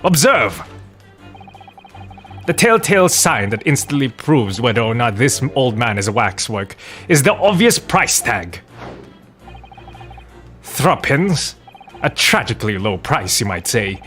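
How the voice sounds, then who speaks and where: A man's voice: medium pitch, very animated, unhurried, pauses often, fairly bright tone, soft background music. A man voicing a pompous, theatrical, eccentric detective from a video game, declaiming grand deductions with dramatic flourishes. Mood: grandly confident. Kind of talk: theatrical read-aloud of video game dialogue.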